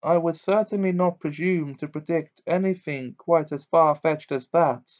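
A man voicing a dreamy, eccentric older woman who teaches divination, with a high, breathy, fussing manner. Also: normal speed, a little mellow, close to an everyday voice. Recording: dull lo-fi recording.

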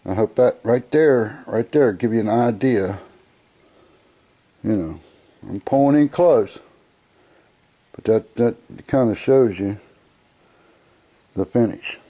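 A man's voice: on the low side, takes it slowly, pauses often, warm tone, breathy edge. An American man presenting an item as a seller in an informal sales video, blunt and sure of himself, with folksy phrasing. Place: indoor room, narrating from behind a handheld camera.

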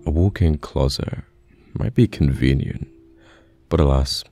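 Man playing a very deep, slow, soft-spoken boyfriend. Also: no room sound. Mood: calm, reassuring. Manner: gentle, halting, reassuring. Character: caring, patient boyfriend reassuring a new live-in partner. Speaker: voice actor in an audio roleplay. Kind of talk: soft-spoken ASMR boyfriend roleplay, pillow talk. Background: soft piano or guitar music.

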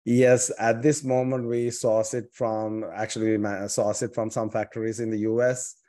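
A man's voice: on the low side, little pitch movement, normal speed, mellow. A man in his forties with a Sri Lankan accent tells his story as a podcast guest, wandering from point to point. He leans on fillers and restarts mid-sentence. He talks over a video call from a home office. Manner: rambling, many fillers and restarts. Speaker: guest, company founder. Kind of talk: casual storytelling interview. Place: home office with dark bookshelves.

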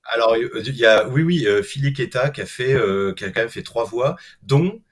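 A middle-aged man chats on a panel over a video call. His mid-pitched voice moves in a halting way, doubling back on words as he explains. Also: lively intonation. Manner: halting, hesitant delivery with many self-repetitions. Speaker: guest animated film director. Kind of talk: casual panel discussion.

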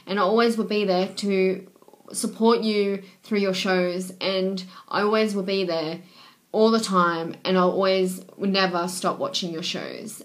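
A young woman speaks to her webcam from a small bedroom, her voice roughened by a cold. She talks earnestly and a little rambling, filling gaps with "um" and thanking viewers over and over. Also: medium pitch, a bit bright. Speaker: vlogger. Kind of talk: casual thank-you messages to viewers. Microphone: webcam at desk.

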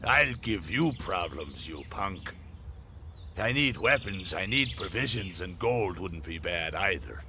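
An actor in a video game playing a shady, gruff pirate who talks in a mocking, bossy, swaggering way. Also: male voice, low voice, expressive, measured pace, background noise. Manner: taunting, swaggering.

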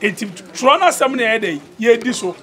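A man's voice: extremely high pitch, expressive, a little fast, fairly bright tone. A Ghanaian man emceeing an outdoor celebration, calling out to the crowd and leading them in call-and-response. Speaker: event emcee. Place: outdoor yard with a large crowd.

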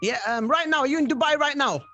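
A man's voice: extremely high pitch, fast talker, pushed and bright, lo-fi sound, faint music behind. A young man hosting an upbeat radio interview, speaking into a studio microphone. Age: young adult. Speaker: radio show host. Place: small radio studio indoors. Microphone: studio microphone with headphones.

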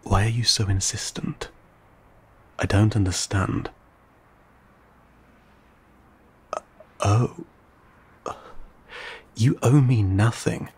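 A man playing an ancient, courteous, guarded vampire in an intimate audio roleplay, speaking in formal, old-fashioned, measured phrases with some hesitation. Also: fairly even intonation, exaggerated voice. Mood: flustered, reluctant. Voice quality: low, gravelly, breathy.

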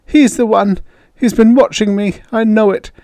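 A distraught young woman , as a male audiobook narrator plays her, pitched well up and urgent. She accuses her attacker with emphatic force in a dry, close recording. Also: fairly even intonation, moderate pace, warm tone, dry recording. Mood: sobbing, accusing.

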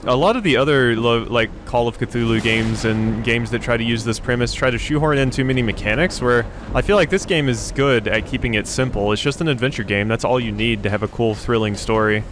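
Rambling male gamer, medium pitch. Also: a little fast, hardly any pauses. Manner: rambling, repeats phrases, thinking aloud. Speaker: gaming YouTuber, let's player. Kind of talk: casual video game commentary. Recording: faint game ambience noise. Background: game ambience.

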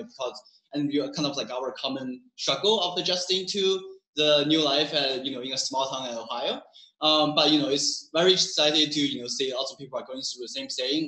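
A man's voice: medium pitch, bright, forceful tone. A young man from China moderating a student panel, hesitant, with many fillers. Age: young adult. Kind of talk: live admissions panel chat. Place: conference room. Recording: echoey room.

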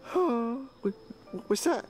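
A performer voicing a whiny, jealous, excitable little boy turtle in a comedic puppet show. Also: medium pitch, slow, soft background music, poor audio. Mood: whiny, longing. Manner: whiny, exclamatory.

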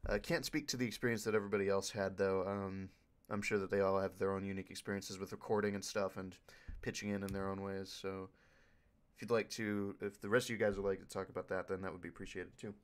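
Male panelist, lower-pitched, informal and enthusiastic, loosely rambling delivery. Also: level tone, quick pace. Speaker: panelist, video editor for a fan dub group. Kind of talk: casual panel Q&A talk.

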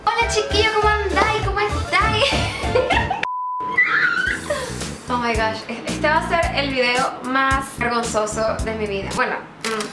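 High-voiced Venezuelan woman, roomy sound. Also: a little slow, long pauses, music in the background. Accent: Venezuelan, putting on Chilean slang. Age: 20s. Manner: playful, self-mocking, laughing often, animated hand gestures. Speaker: YouTuber. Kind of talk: casual vlog answering questions.

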